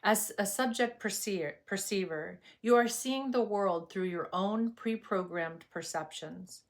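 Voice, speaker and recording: A woman teaching meditation in a scripted spiritual talk, with a soothing, measured and reverent delivery. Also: medium pitch.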